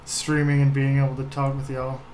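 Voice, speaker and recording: Young guy streamer just chatting, kinda flat and self deprecating, noisy mic w typing. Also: mid-range voice, moderate pace. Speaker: live streamer, host. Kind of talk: casual chat while game streaming. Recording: noisy room, typing in background.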